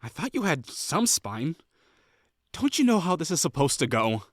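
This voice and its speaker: A man's voice: a little high, very animated, normal speed, no echo, clean studio sound. A young man voicing a prickly, condescending perfectionist classmate in an audio roleplay, talking in a bossy, sarcastic way. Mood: exasperated, heated. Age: young adult. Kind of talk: scripted roleplay acting.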